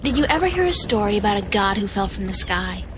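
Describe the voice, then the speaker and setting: Woman doing a young game heroine, polite, asks lots of questions, lively voice, hiss behind. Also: mid-range voice, quick pace, lightly acted.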